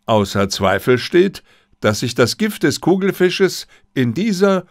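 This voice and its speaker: A man voicing a confident detective in an audiobook, speaking deliberately and politely with theatrical emphasis. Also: on the high side, big pitch swings, dry recording, clean studio sound, voice pushed up. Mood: commanding, deliberate. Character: confident, theatrical male detective addressing suspects.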